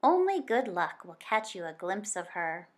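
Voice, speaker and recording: A woman reads a nonfiction picture book aloud for children. Her intonation is lively and moves widely up and down at a middle pitch. She tells it like a teacher, expressively and deliberately, drawing out key words.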